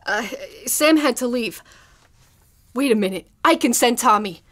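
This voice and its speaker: A woman playing a breezy, persuasive studio staffer in a live old-time radio drama, brisk and chatty. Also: on the high side, lively intonation, fairly bright tone. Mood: helpful.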